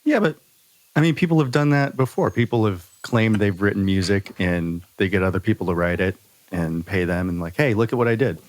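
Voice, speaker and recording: Male podcast host, lowish pitch, dry close sound, casual, earnest, a little halting. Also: darkish tone, no room sound, poor audio. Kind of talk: casual conversational discussion.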